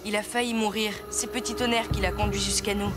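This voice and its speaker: A woman's voice: medium pitch, little pitch movement, brisk, lightly acted. A woman voicing a brave, caring young boy in a French cartoon dub, earnest and protective. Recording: music behind the voice.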